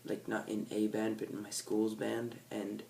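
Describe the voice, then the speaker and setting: A nasal teenage boy from California rambles to camera, correcting himself and drifting between thoughts. His voice sits fairly low and a little distant from the microphone, with a faint hum of ambience in the background. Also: monotone, unhurried, gravelly, darkish tone. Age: teens. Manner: rambling, self-correcting, laid-back. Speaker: vlogger. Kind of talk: casual unplanned chat to camera. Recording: faint background ambience, set back from the mic.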